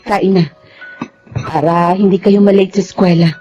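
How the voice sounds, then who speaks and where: A woman playing a gentle, poor, long-suffering mother with a Filipino accent in a radio drama. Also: medium pitch, steady tone, measured pace, faint music behind, lightly acted. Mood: tender, weary. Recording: dull lo-fi radio drama audio.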